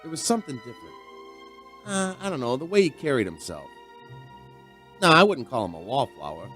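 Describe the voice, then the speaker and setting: An actor playing a chatty, folksy bar owner, rambling conversationally through a radio drama monologue. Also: male voice, medium pitch, big pitch swings, brisk, a bit bright, faint music behind. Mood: reflective, curious.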